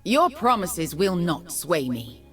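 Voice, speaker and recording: An actress playing a poised, proud noblewoman mage and politician in a video game, speaking in a measured, formal way. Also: on the low side, very animated, a bit bright, breathy edge, faint music behind, heavily acted. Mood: firm, composed. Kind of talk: video game character voice lines.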